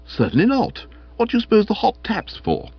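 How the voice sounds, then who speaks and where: A man voicing a flippant, idle young gentleman with an upper-class English accent in a comic audiobook, breezy and scornful. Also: high-pitched, wildly swooping pitch, a little fast, creaky, breathy edge, dry recording, dull audio, voice pushed up, full character voice. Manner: airy, scornful, breezily self-satisfied.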